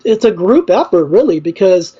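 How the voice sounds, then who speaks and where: A man's voice: high voice, very animated, moderate pace, mellow, slightly rough, dull audio. A man who runs a record label, a guest on a podcast, speaking with upbeat enthusiasm. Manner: upbeat and enthusiastic, laughs easily. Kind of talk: casual podcast interview.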